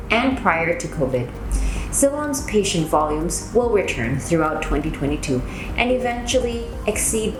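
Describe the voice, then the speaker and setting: Female corporate presenter, slightly low register, formal scripted delivery; roomy sound with noticeable background noise. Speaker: company executive. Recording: echoey room with clear background noise.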